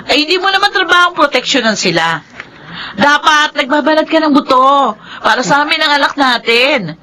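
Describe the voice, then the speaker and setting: A worried Filipino wife who nags and pleads in a TV drama. Her voice is extremely high and sharp, with swooping, animated intonation. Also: normal speed. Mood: scolding. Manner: nagging, pleading. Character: worried, scolding hardworking wife and mother. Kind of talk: Filipino television drama acting.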